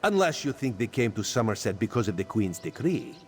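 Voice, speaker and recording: Playful, secretive cat-man spy for a game, lively sing-song delivery, speaks of himself in third person, faint music behind. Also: fairly low pitch, brisk. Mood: sly. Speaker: spymaster quest giver. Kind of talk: video game character dialogue.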